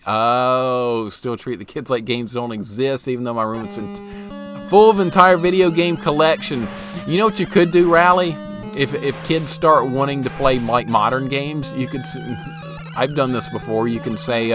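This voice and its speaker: A rambling, chatty man in his forties, from Alabama, talking in a fairly low voice. He keeps breaking off and restarting his sentences while chatting on a live game stream. Game music plays clearly underneath him. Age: 40s. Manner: rambling, self-interrupting, chatty. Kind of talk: casual live-stream chat while playing. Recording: game music playing behind.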